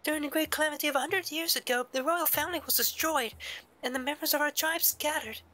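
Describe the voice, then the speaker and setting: A man voicing a shy, earnest young village woman from a video game in a polite falsetto. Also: quick pace, pushed and bright. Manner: polite, hesitant, stammering when flustered.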